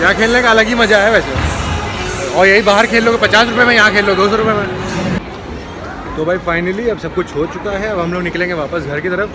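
A man in his twenties giving a chatty, excited play-by-play on a handheld phone camera inside a busy bowling alley. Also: sits high. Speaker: vlogger. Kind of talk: casual vlog chat. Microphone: phone camera held at arm's length. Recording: loud crowd and alley noise.

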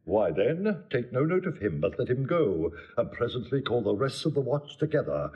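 A man's voice: mid-range voice, very animated, normal speed, muffled recording, close to an everyday voice. An actor playing a pompous, muddle-headed constable instructing his watch in a classical stage comedy style. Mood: pompous instruction. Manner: pompous, self-important, instructing.

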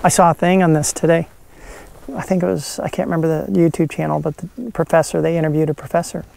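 Gravelly casual American man vlogging. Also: fairly high pitch, little pitch movement, fast talker, warm tone. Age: middle-aged. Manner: informal, slangy, jokey. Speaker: vlog host and field herper. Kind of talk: casual vlog chat.

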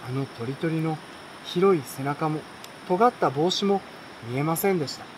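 A young adult man reading a bedtime story aloud in a relaxed, unhurried way, with pauses between short phrases. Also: fairly high pitch, slight background noise, poor audio. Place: outdoors at night beside a campfire. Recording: campfire, insects and stream behind voice.

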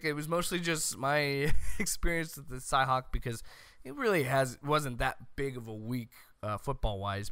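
An American man in his thirties giving loud, emphatic, opinionated sports commentary as a show host, close on a studio mic. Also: medium pitch, clear, resonant tone, dry recording. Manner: loud, emphatic, exasperated ranting. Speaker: host of a college football show. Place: small room at home. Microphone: close studio mic on a boom arm.

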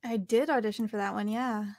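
A woman's voice: medium pitch, steady tone, a little fast, a little mellow, no room sound, studio quality. A young adult woman with a Canadian accent, chatting casually as an interview guest. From Calgary, Canada. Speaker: interview guest, actor and voice artist. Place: small home studio, video call.

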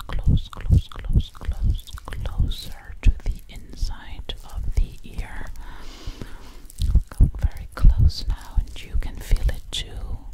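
A woman whispering breathily and soothingly, close to the microphone in ASMR style, repeating words over and over. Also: low-quality recording. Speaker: ASMR creator.

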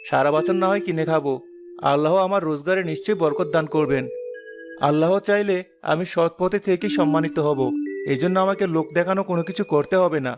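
A man voicing a humble, pious, honest village husband in a cartoon, earnest and measured. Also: fairly high pitch, lightly acted. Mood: earnest, content. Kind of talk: Bengali cartoon dubbing. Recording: music clearly under the voice.

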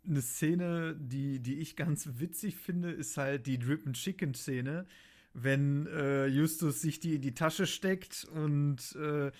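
A man's voice: on the high side, slow pace, a little mellow. A man co-hosting a podcast, casually describing things in everyday conversational speech. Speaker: podcast co-host. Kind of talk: casual chat, describing scenes.

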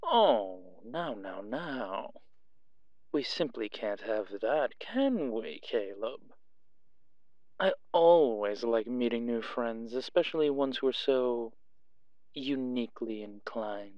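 A sly male fixer for an audio roleplay, acted by a man, drawling very slowly and theatrically. He is coy and teasing, always prying, and the dry recording sounds slightly dull. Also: medium pitch, expressive, darkish tone, no echo. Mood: coy, amused.

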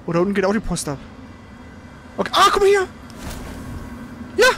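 A man giving casual, colloquial live commentary while playing a video game, prone to excitable exclamations. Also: high voice, brisk, a little hiss or hum. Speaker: let's player. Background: loud video game sound.

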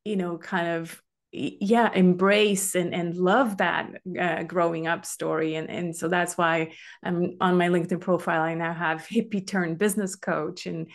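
A woman's voice: on the low side, steady tone, normal speed. A middle-aged woman from Switzerland, a guest telling her story in a casual conversation on a video call, from a room at home. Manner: chatty, open storytelling with frequent fillers. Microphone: webcam on a video call.

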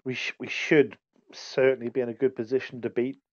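Flat-toned man on a dull line. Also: mid-range voice, no echo. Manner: measured, level, filled with "um"s. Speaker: podcast guest. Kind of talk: casual football podcast chat.

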